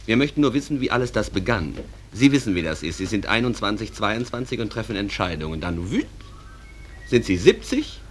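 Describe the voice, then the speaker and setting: An actor playing a genial, folksy stage manager who narrates a radio play in a reflective, conversational way. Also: male voice, lowish voice, very animated, a little fast, faint music behind.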